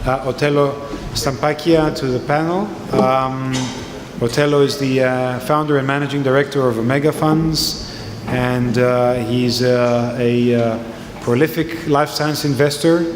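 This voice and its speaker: A man's voice: medium pitch, fairly even intonation, a little slow. A man moderating a conference panel, hesitant with many fillers. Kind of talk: conference fireside chat. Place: conference hall. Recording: echoey hall with ambience noise.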